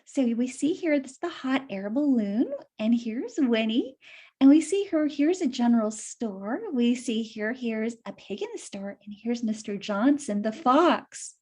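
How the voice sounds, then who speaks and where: A woman's voice: fairly high pitch, animated, a little slow, a little mellow. A woman reading a story aloud for children, explaining it simply and putting questions to young listeners.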